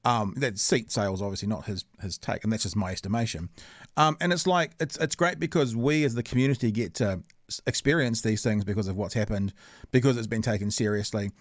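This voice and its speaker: A man's voice: on the low side, brisk, no room sound, studio quality. Chatty New Zealand podcast host man. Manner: chatty, laughing easily, long winding sentences. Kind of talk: casual podcast interview.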